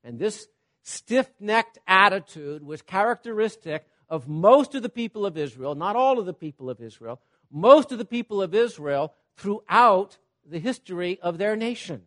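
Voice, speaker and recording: A man preaching a sermon, teaching with everyday examples, checking in with "Okay?" and swinging between loud emphasis and quiet asides. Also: sits high, expressive, normal speed. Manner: repeats "Okay?" to check on listeners.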